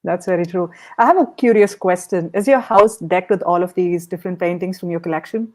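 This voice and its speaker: A woman's voice: lowish voice, brisk, a little mellow. A woman hosting a conversational podcast interview in an encouraging, appreciative manner.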